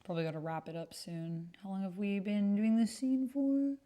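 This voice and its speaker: A woman's voice: medium pitch, fairly even intonation, moderate pace, dark tone. A woman livestreaming a text roleplay game, chatting casually and thinking aloud as she reads and types. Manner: thinks aloud while reading and typing. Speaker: streamer playing a text-based roleplay game. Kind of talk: casual livestream chat while reading out typed roleplay. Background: computer keyboard typing.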